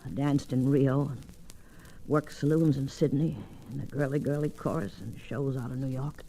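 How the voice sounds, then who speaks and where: A tough old saloon woman of the Old West, low-pitched and folksy. She talks in plain, ungrammatical frontier slang with a worldly weariness. It is an old radio western with faint background noise. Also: fairly even intonation, normal speed, mellow, rough recording. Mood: weak, weary. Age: older. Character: tough, weary old female saloon keeper and gambler.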